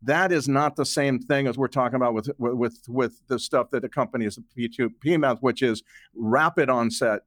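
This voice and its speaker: A man's voice: mid-range voice, normal speed, no echo, studio quality. A man speaking as an interview guest, explaining conversationally and emphatically, repeating words for stress. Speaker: guest, author and journalist.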